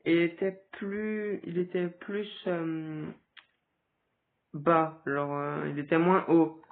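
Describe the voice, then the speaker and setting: A man with a cold giving hesitant, casual commentary over a game, with sudden excited outbursts. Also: fairly high pitch, slow. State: stuffy with a cold. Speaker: gaming video creator. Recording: tinny phone-like recording.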